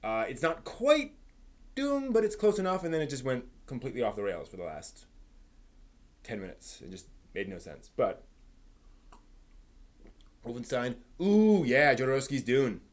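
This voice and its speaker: A man hosting a casual live stream, thinking aloud in a halting, rephrasing way while giving emphatic opinions. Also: mid-range voice, wildly swooping pitch. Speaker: livestream host. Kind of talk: casual live-stream chat, answering viewers' comments.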